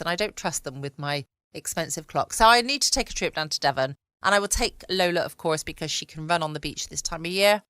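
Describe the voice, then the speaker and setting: A woman with a southern English accent chatting in a relaxed bedtime-podcast style as host, recorded at home. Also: fairly low pitch, clean tone, no room sound. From United Kingdom. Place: at home, quiet room.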